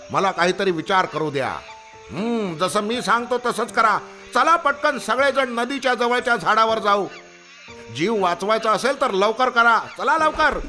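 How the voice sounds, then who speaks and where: A man's voice: high-pitched, pushed and bright, full character voice. A man voicing a noble, protective monkey king in a children's cartoon story, earnest, commanding and dramatic. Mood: urgent, commanding.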